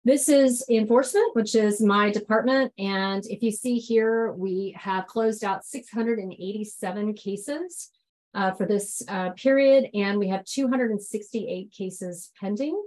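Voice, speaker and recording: Casting: woman, slight head-cold hoarseness, businesslike presenter, steady mid pitch. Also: unhurried, poor audio. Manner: businesslike, with frequent ums and acronyms. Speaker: agency enforcement presenter. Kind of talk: presentation to stakeholders with slides.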